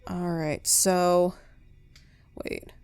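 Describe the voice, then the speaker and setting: A woman's voice: lowish voice, flat delivery, drawn-out words, a little mellow, smooth, no room sound. A woman doing a live let's play, casually reacting and talking back to a game in a wry way. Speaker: game player.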